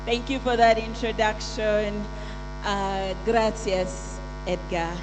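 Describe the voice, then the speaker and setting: A woman acting as event MC, playful and slow-paced, mixing several languages while greeting the crowd. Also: mid-range voice, steady tone. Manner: playful, switches between languages. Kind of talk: lively stage hosting. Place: large loud conference hall. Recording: reverberant hall with mains hum.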